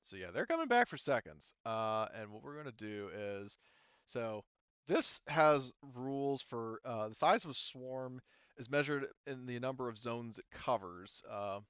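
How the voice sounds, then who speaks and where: A man acting as game master, casually refereeing a tabletop game and thinking aloud in a halting way. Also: medium pitch, lively intonation, a bit bright, clean tone, no room sound, highs cut off.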